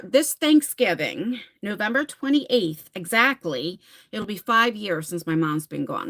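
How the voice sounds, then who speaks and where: Chatty bright-voiced woman in her forties. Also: medium pitch. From New Jersey, USA. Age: 40s. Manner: chatty, laughs often, talks straight to viewers by name. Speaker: livestream host. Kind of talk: casual live-stream chat reading viewer comments.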